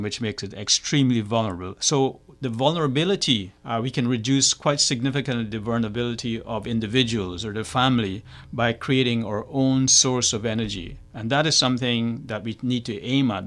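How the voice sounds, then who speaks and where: A man's voice: mid-range voice, fairly bright tone. An older man, speaking as an academic in an interview and explaining things, often checking in with the listener. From Guyana. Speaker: academic researcher, climatologist. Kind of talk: interview answers, explanatory talk.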